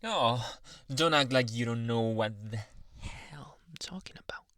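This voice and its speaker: A man playing a jealous, possessive, unhinged young anime boyfriend in an ASMR roleplay, slipping between low speech and breathy whispers, menacing yet coaxing, close to the mic. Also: a bit bright, no echo, natural voice. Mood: accusing, menacing. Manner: menacing, teasing, drawn-out coaxing endearments. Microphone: close-up ASMR microphone, dry.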